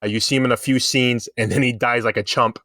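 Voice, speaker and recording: A man's voice: mid-range voice, steady tone, fast talker, pushed and bright, no room sound, studio quality. A man in a casual, rambling fan discussion, explaining things at length as a podcast co-host.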